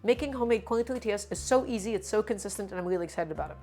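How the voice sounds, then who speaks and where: A woman's voice: mid-range voice, expressive, very fast, mellow, faint music behind. A woman hosting a live cooking stream, slightly sick and a bit nasal, talking in an upbeat, chatty way. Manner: upbeat, chatty, greets chat by name.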